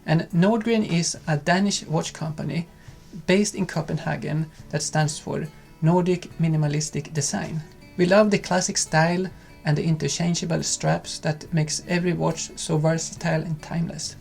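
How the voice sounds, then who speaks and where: Calm man with a gravelly voice. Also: a little high, normal speed, hardly any pauses, soft background music. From Sweden. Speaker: vlog co-host. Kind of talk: casual chat and sponsor read.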